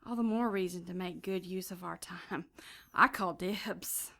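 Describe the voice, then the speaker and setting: Cheeky flirt with creaky voice. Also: female voice, on the low side, a little slow, pushed and bright, heavily acted. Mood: flirty, swooning. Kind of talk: audio drama acting.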